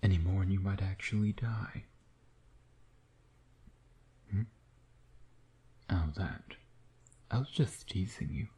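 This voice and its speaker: A man playing a smug, flirtatious vampire nemesis with a caring streak in an intimate ASMR roleplay, teasing and sardonic, slipping into breathy whispers. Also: low voice, takes it slowly, long pauses, dark tone, slight background noise. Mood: teasing, soft.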